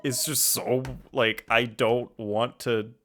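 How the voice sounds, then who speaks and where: A man's voice: medium pitch, level tone, takes it slowly, fairly bright tone. A man chatting casually on a game livestream, informally thinking aloud as he plays. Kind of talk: casual livestream gaming chat. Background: music.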